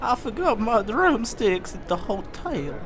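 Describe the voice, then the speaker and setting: A man voicing an anxious, sentimental pig musician in an amateur radio drama, whiny and excitable. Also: sits high, unhurried, slight background noise, full character voice. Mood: worried pleading.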